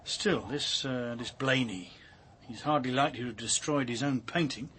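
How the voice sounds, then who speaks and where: An actor playing a gruff, sardonic male police inspector in a radio drama, speaking in a blunt, wry, clipped way. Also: medium pitch, normal speed, fairly bright tone, a little hiss or hum, natural voice. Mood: probing, wry. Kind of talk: acted British radio drama dialogue.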